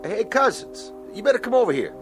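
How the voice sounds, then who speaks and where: A man's voice: sits high, big pitch swings, fairly quick, music in the background. A man playing a plain-spoken, uneasy airport ground crewman in a television drama. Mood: alarmed.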